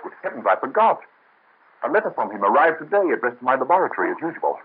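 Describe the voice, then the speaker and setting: An anguished young physician. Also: male voice, mid-range voice, big pitch swings, quick pace, slightly rough. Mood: earnest. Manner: earnest, melodramatic. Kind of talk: 1930s radio drama acting. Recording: tinny old radio recording.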